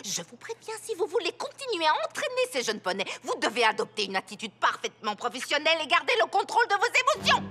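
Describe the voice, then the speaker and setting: Strict fussy cartoon teacher pony, high voice, super swoopy and pompous, music behind. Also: female voice, wildly swooping pitch, fast talker, few pauses, pushed and bright, heavily acted. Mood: stern, scolding. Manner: formal, pompous, fussy articulation. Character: prim, stern schoolmistress pony, strict and long-winded. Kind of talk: animated cartoon voice acting. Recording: background music under the voice.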